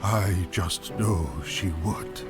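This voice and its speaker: A man playing a benevolent, solemn aging fish-folk king, stately and measured, in a fantasy audio drama. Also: sits low, steady tone, music in the background. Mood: gentle, sorrowful.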